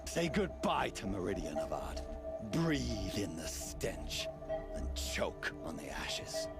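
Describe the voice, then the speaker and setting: An actor playing a vengeful, gloating villain in a video game cutscene, taunting and theatrical. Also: male voice, lowish voice, wildly swooping pitch, takes it slowly, gravelly, full character voice. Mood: gloating menace. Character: vengeful, gloating male villain, a tinker turned bomber. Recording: loud music behind the voice.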